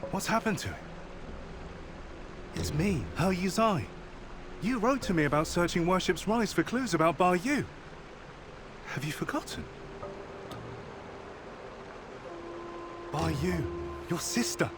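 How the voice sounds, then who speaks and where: A man's voice: high-pitched, animated, moderate pace, long pauses. An actor playing a concerned, loyal male scout in a game cutscene, speaking earnestly and explaining as he goes. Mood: worried, urgent. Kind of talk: scripted game cutscene dialogue. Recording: clear ambient noise behind the voice.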